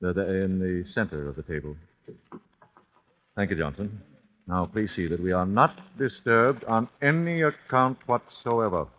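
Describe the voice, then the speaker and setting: A man's voice: low voice, expressive, a little slow. An actor playing an older, formal, coldly polite father bent on vengeance in an old-time radio thriller. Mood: calm, precise. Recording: muffled old radio broadcast, phone-like.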